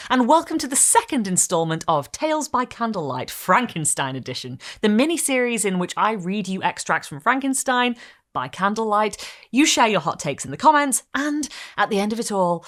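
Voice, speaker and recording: A woman hosting a candlelit reading series, reading a gothic novel aloud in a theatrical way and sometimes dropping to a hush. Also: mid-range voice. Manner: theatrical, hushed drops to a whisper. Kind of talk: dramatic reading of gothic fiction.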